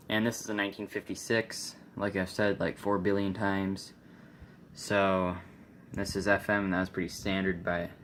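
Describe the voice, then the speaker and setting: A man giving a casual, unscripted demonstration, halting and offhand as he thinks aloud. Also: low voice, slight background noise. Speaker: video creator demonstrating a vintage radio.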